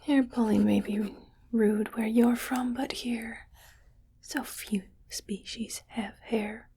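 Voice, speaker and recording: A woman voicing a haughty, fussy alien collector in a breathy, whispered ASMR roleplay, with a condescending manner. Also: fairly high pitch, fairly even intonation, warm tone, exaggerated voice. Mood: condescending, stern. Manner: slow, deliberate, condescending.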